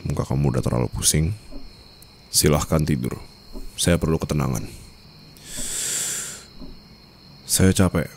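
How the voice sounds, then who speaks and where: Very deep, gravelly mafia boss, acted by a man. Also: darkish tone, a little hiss or hum. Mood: weary, dismissive. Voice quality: very deep, gravelly, often dropping to a breathy murmur. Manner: slow, smug, formal and controlled. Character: menacing, teasing mafia boss, newly wed husband. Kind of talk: ASMR romance roleplay.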